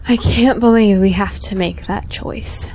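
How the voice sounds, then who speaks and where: A woman's voice: mid-range voice, steady tone, measured pace, warm tone. Earnest young woman, devout, troubled conscience, insistent, audio drama dialogue, acted by a woman. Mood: dismayed, searching.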